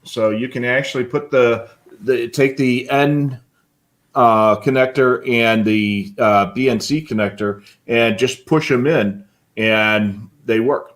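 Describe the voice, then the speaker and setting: Man, medium pitch, casual technical explainer, plainspoken, practical, live show co-host. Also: fairly even intonation, slow, fairly bright tone.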